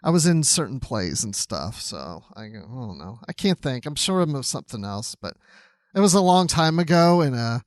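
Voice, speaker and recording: A man's voice: mid-range voice, sing-song, normal speed, no echo, studio quality. A man co-hosting a podcast in casual, chatty conversation, readily agreeing with his partner. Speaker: podcast co-host.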